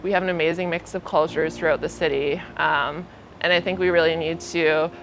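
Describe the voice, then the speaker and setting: A woman speaking in a measured, articulate way as she makes a case in a documentary interview. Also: deep voice, steady tone, fairly bright tone, slight background noise, poor audio.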